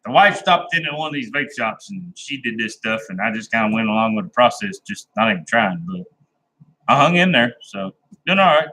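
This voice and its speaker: A man's voice: fairly low pitch, lively intonation, a little fast, sharp tone, low-quality recording. A man chatting casually with viewers on his live stream, rambling and laid-back, with a folksy, plain-spoken way of talking. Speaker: livestream host chatting with viewers.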